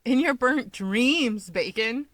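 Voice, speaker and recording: Woman voicing a higher-pitched, teasing young male hero. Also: lively intonation, slow, a bit bright, no echo, full character voice. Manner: insistent, teasing. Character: playful, stubborn young winged male hero, easily riled. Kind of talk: fan fiction audiobook dialogue.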